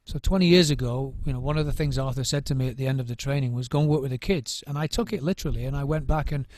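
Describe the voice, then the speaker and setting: A man's voice: mid-range voice, very fast, a little mellow, dry recording. A middle-aged man, a drum circle trainer, giving thoughtful interview answers, often restarting and repeating words as he searches for what to say.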